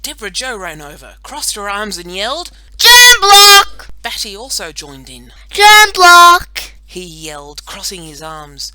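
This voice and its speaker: Bright, animated woman telling a children's story. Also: on the low side, moderate pace, few pauses, rough recording. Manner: animated, playful, dramatic storytelling. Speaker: audiobook narrator. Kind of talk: expressive children's story narration.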